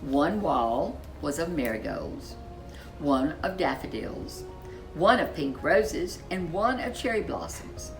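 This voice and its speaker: A woman reading a children's storybook aloud as a storyteller, with expressive, deliberate delivery and dramatic emphasis. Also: deep voice, over music, poor audio.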